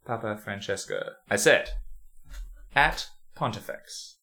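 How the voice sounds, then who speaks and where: A low-voiced man co-hosting a casual comedy podcast. His pitch swings a lot as he throws in quick jokes and laughs easily. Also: lively intonation, bright, forceful tone. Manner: joking, laughs often, quick quips. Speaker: podcast co-host.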